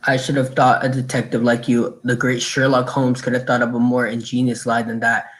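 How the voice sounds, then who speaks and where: An actor playing a smug, stern police chief, formal and accusing, in old-time radio drama style. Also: male voice, medium pitch, completely flat delivery, fairly quick, a bit bright, lightly acted. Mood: smug accusation.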